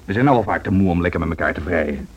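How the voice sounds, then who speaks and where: A man's voice: low voice, expressive, very fast, slightly rough. A man acting a blunt, grumbling, sardonic husband in a Dutch social radio drama. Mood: disapproving. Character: grumbling, sardonic husband opposed to wife's ambitions. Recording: dull, noisy radio drama recording.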